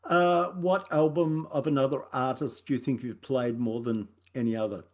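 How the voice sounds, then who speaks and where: A man's voice: mid-range voice, steady tone, normal speed. An Australian man hosting an interview, asking questions in an easygoing way with many small hesitations. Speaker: interviewer, host.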